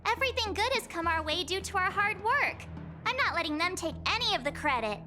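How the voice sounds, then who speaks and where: Spirited game heroine: girlish, very high voice, bubbly and optimistic, music clearly behind. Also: very animated, brisk, bright, forceful tone, full character voice. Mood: defiant, upbeat. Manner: bubbly, informal, emphatic. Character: spirited, cheerful young girl adventurer, fiercely optimistic. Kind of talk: English video game voice acting.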